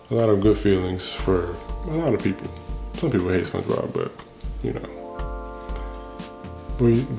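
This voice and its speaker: A man's voice: low-pitched, vocal fry, roomy sound. A man giving a casual, reflective monologue in an informal, rambling way, repeating phrases for emphasis. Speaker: host of a personal talk show.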